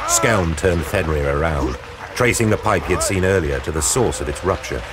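A man giving a grave, measured, dramatic narration for an audio drama. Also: low voice, animated. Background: dramatic music.

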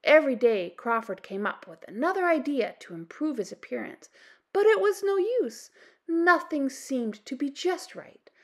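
A woman with a Canadian accent reading a children's storybook aloud as an expressive storyteller. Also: sits high, normal speed. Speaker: storytime host, reader. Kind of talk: children's storybook read-aloud, scripted narration.

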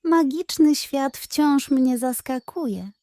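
A woman voicing a small magical doll girl in a children's storybook, affectionate, earnest and excitable. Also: high-pitched, takes it slowly, dry recording, pitched above the performer's own voice. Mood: excited.

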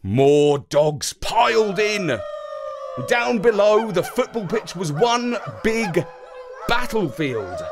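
Highly animated male storyteller. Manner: dramatic, playful delivery. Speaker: storyteller reading a children's story. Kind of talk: animated scripted narration of a children's story.

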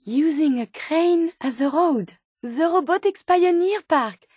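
Game role: earnest female robot scientist, French accent, high register, precise formal diction, very dry sound. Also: animated, takes it slowly, darkish tone, no room sound. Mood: curious. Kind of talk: video game companion dialogue.

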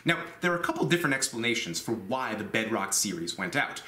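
A man's voice: on the low side, sing-song, fast, sharp tone, echoey room. A man in his thirties hosting a woodworking video, talking emphatically and candidly in a small workshop. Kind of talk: instructional voice-over and talking to camera.

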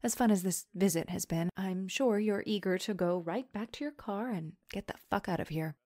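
A woman's voice: medium pitch, normal speed, darkish tone, dry recording, studio quality, natural voice. An actress playing a guarded, bitter woman in an audio drama, speaking in a sarcastic, curt, profane way. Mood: exasperated, hostile. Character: Florence, guarded, bitter adult woman, wary school survivor. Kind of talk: naturalistic audio drama acting.

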